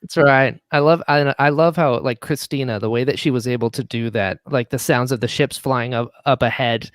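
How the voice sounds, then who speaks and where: Guy on a podcast talking about stuff he wrote, animated, acts out bits, really dry sound. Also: mid-range voice, fairly quick, no room sound. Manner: animated storyteller who acts out quoted lines. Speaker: audio drama writer and producer, podcast guest. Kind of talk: casual chat.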